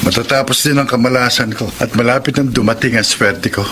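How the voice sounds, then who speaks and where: An actor playing a stubborn, defensive, hopeful gambler in a Filipino radio drama, insistent, with a Filipino accent. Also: male voice, medium pitch, fairly quick, noisy background, natural voice. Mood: defiant, hopeful.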